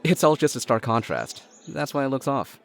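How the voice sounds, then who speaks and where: A man playing a level-headed, slightly dorky adult brother in an audio drama, talking in a measured, wry way. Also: medium pitch, sing-song, gravelly. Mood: reasoning.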